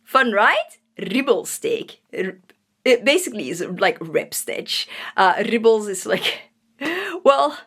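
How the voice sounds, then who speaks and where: A woman's voice: medium pitch, sing-song, slow pace, a bit bright, breathy edge. A woman from Belgium with a Flemish accent, a native Dutch speaker, chatting casually as a vlogger in a giggly, playful, self-interrupting way. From Flanders, Belgium. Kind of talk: casual chatty craft vlog.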